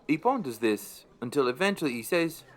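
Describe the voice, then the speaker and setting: A man acts as a middle-aged pub landlord narrating his own tale in a radio play. His fairly high male voice is dry and understated, with the wry air of an unimpressed storyteller. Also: animated, a little fast, close to an everyday voice. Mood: wry, companionable.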